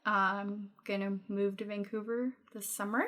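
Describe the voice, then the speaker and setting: A woman's voice: mid-range voice, flat delivery, unhurried, fairly bright tone. A 24-year-old woman from Denmark with a Danish accent, whose first language is Danish, talking openly and laughing easily as a podcast guest. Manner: candid and open, laughs easily. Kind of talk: casual chat.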